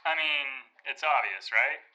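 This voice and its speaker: An actor playing an evasive high school basketball coach, blunt and colloquial, in an audio drama. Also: male voice, measured pace, sharp tone, dull audio. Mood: guarded, defensive. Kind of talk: scripted audio drama interview.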